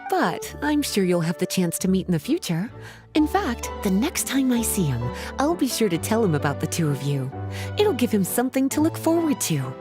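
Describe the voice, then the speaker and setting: A woman's voice: medium pitch, big pitch swings, normal speed, music in the background, lightly acted. A woman voicing an elegant, teasing grown-up sorceress in a video game, coy, honeyed and playful. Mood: playfully regretful. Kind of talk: English video game voice acting.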